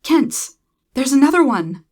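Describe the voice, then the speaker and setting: A woman's voice: high-pitched, very animated, a little slow, darkish tone, pitched above the performer's own voice, exaggerated voice. Urgent young woman tribe leader, as a narrator plays her. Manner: urgent, emphatic. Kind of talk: dramatised sci-fi story reading.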